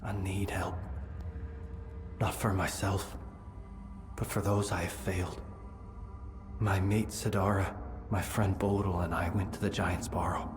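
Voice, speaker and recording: An actor playing a humble, grieving tribesman in a fantasy video game, speaking in a solemn, halting way. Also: low-pitched, little pitch movement. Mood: sorrowful, humble. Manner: solemn, halting, heavy delivery. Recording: prominent music behind the voice.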